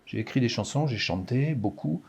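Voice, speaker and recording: A man in his sixties with a Parisian French accent, an author talking about his writing in a hesitant, halting way in a casual interview. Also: medium pitch, expressive, gravelly, a little mellow, breathy edge, muffled recording. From France. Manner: hesitant, halting, many restarts.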